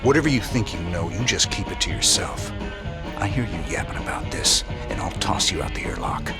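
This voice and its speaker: An actor playing a gruff, world-weary space rebel commander in a video game, terse. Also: male voice, sits low, animated, a little fast. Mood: guarded, threatening. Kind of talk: video game cutscene acting. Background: music.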